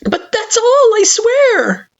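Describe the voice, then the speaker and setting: A nervous, earnest young child in a fan story reading, voice lifted high and stammering as it pleads. The sound is dull and lo-fi. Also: female voice, sing-song, unhurried, full character voice. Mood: pleading. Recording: dull lo-fi recording.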